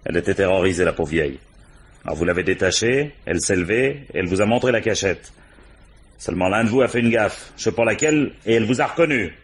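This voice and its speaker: A low, husky male voice for a radio crime drama. He is a shrewd police commissioner in command of an interrogation. He presses his points methodically and with familiar authority. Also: expressive, quick pace. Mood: accusing. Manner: insistent, familiar, methodical questioning.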